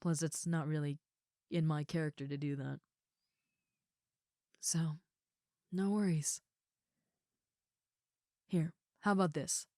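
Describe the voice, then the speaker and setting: A woman acting as a young female ogre roommate, with a slightly low voice recorded close and dry. She is shy and caring, speaking softly and casually with hesitant reassurance, as in an intimate audio roleplay. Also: steady tone, slow pace, long pauses, dry recording. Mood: earnest, reassuring. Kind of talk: intimate comfort audio roleplay, addressed to the listener.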